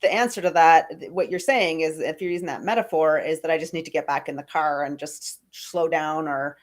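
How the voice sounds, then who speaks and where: A woman's voice: deep voice, fairly even intonation, a little fast. A woman hosting an interview, chatting eagerly and laughing often, with a cold. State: has a cold. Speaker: podcast host. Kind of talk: casual interview chat.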